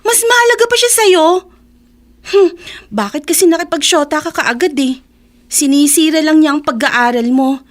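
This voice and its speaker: A woman's voice: high voice, normal speed, close to an everyday voice. An actress playing a blunt, sarcastic teenage schoolgirl who scolds her friend in slangy Filipino-accented speech for a radio drama. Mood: exasperated.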